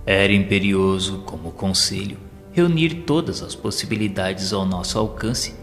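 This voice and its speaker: A man narrating an audio novel in a solemn, formal, measured, scripted dramatic style. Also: deep voice. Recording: long reverb with faint music behind. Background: music.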